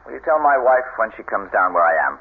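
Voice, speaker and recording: Casting: bitter, brooding husband, deep voice, halting dramatic delivery, narrow noisy old-radio sound. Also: male voice, expressive. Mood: controlled, resolute. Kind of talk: 1970s radio drama acting. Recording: muffled, noisy vintage radio recording.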